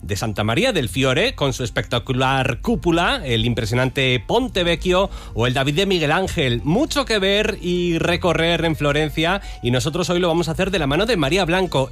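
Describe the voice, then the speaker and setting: Higher-voiced Spanish man presenting, music behind. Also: fairly bright tone. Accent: Spain. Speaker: radio co-host. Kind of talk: presenting a travel segment, semi-scripted.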